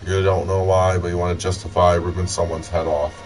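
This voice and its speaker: A man's voice: deep voice, flat delivery, brisk. A man with an American accent chatting casually on a gaming livestream, rambling, joking and swearing in a sardonic way. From Michigan, United States. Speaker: game livestreamer. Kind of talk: casual rambling banter between friends. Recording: distant mic with music playing.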